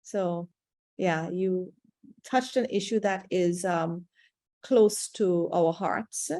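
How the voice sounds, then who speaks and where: A woman, a third-generation cocoa farmer answering webinar questions over a video call, measured and diplomatic with frequent hesitations. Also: on the low side, dark tone, dry recording.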